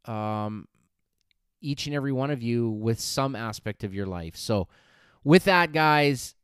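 A man's voice: medium pitch, clear, resonant tone, no echo, studio quality. A man hosting a fitness podcast and livestream, talking loosely and encouragingly, with many fillers and restarts. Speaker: podcast host and fitness coach. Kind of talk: casual podcast and livestream wrap-up.

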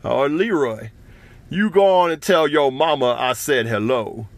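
A man's voice: mid-range voice, animated, unhurried, dry recording. A man voicing a sly, mischievous male dirt spirit in a bedtime story.

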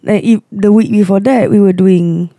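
A hoarse speaker with a Malaysian English accent talks casually, rambling and halting with many restarts. The voice is gravelly and very dark, and the close recording is completely dry. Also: medium pitch, fairly even intonation, no room sound. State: hoarse, losing voice and unwell. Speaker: touring musician and podcast co-host. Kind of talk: casual chat.

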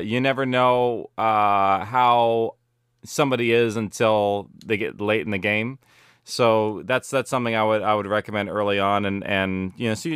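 A man speaks in a low, crisp voice with a very dry sound. He chats casually as a guest, rambling through his answers and often restarting or correcting his words. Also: no room sound. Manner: animated rambling, self-correcting, peppered with "like" and "you know". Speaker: interview guest, audio drama producer. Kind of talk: casual interview chat.

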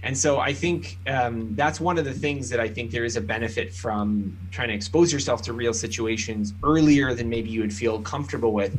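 A man's voice: medium pitch, a little fast, few pauses, rough recording. A man, an author and podcast guest, explaining ideas in a thoughtful way with plenty of examples. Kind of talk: explanatory interview talk.

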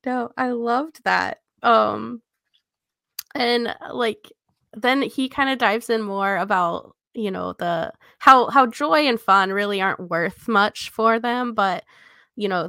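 Female podcast co-host, medium pitch, conversational and reflective, recorded dry with no room sound. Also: takes it slowly, vocal fry, pushed and bright, dry recording. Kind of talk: casual book discussion, personal reflection.

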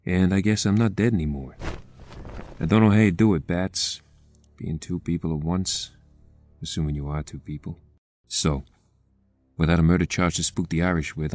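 A man's voice: low voice, a little mellow, no echo. A man voicing a cynical district attorney who talks bluntly and wryly. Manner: blunt, wry. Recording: dry close sound with faint music.

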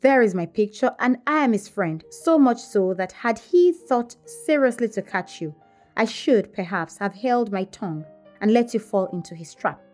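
A woman's voice: medium pitch, lively intonation, moderate pace, darkish tone, faint music behind, close to an everyday voice. Audiobook role: haughty young wife, domineering and scornful, emphatic delivery, performed by a female narrator. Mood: grimly warning. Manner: emphatic, imperious. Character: proud, scornful young married gentlewoman, vehement and domineering.

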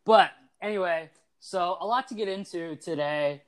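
A young man in his twenties hosts a sports podcast. His voice sits a touch high, and he talks in a lively, teasing way, full of quick asides. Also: clear, resonant tone. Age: 20s. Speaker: podcast host. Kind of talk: casual sports podcast chat.

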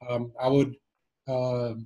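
A man in his twenties speaks as a guest in a casual interview. His voice is soft-edged and mellow, at an average pitch with little rise and fall. He is thoughtful and a bit hesitant, feeling his way through his answers. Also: completely flat delivery, slow pace, low-quality recording. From Cuba and Miami. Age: 20s. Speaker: interview guest, former basketball player. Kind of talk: casual reflective interview chat.

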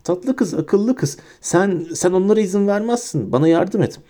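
A man voicing a sniveling, terrified middle-aged traitor who begs and stammers in a high, whining voice. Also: animated, normal speed, mellow. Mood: pleading.

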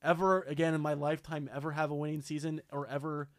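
A man co-hosting a casual chat, his voice hoarse and a little sore from yelling. He rambles excitably, with lots of fillers. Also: fairly high pitch, fast, no echo, clean studio sound. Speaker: podcast co-host.

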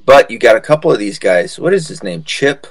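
A man's voice: medium pitch, very animated, a little fast, no echo. A man talking casually as a podcast co-host, rambling through stories and politics in colloquial, sweary language. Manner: profane, colloquial, calls listener dude.

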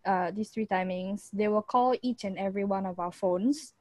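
A young woman with a Singaporean accent, co-hosting a casual podcast, chatty and quick to laugh, dropping Singlish particles. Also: medium pitch, a little mellow, no room sound. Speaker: podcast co-host. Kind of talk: casual chat.

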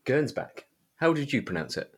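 A man's voice: medium pitch, very animated, normal speed, husky. A man hosting a podcast in a casual, chatty style. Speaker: podcast host. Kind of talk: casual chat.

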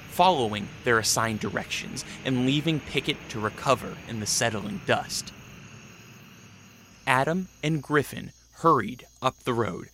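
A man's voice: mid-range voice, pushed and bright, slight background noise. A man narrating a scripted radio drama in the role of storyteller. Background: music.

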